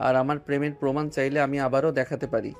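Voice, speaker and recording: A man's voice: medium pitch, fairly even intonation, rapid-fire, a little mellow, natural voice. A male voice actor playing a romantic young husband who teases his wife tenderly in an audio drama. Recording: music bed underneath.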